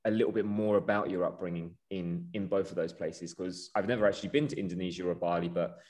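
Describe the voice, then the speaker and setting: Male podcast host, low voice, earnest, effusive, rambling questions. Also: fairly even intonation, quick pace, darkish tone. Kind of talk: casual podcast interview.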